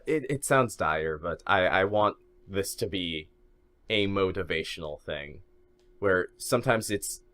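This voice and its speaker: Casting: male podcast co-host, very low voice, musing and restarting mid-sentence. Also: animated, measured pace, a bit bright. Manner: thinking aloud, repeats words as he restarts. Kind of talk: casual podcast chat.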